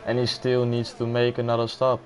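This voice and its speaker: A man's voice: medium pitch, completely flat delivery, fairly quick, slight background noise. A man with a Dutch accent commentating a live sim racing event, exclaiming and laughing at close moves. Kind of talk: live esports race commentary.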